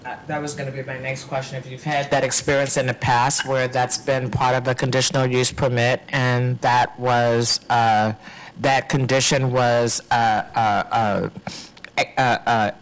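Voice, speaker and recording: Halting man questioning hearing staff. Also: medium pitch, flat delivery, poor audio. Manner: halting, searching for words. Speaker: commissioner.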